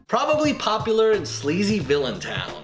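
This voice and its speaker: A man narrates a comedic movie review with a lively, swooping delivery and a showman's playfulness. His voice sits high for a man, in a roomy echo with loud music behind it. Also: moderate pace.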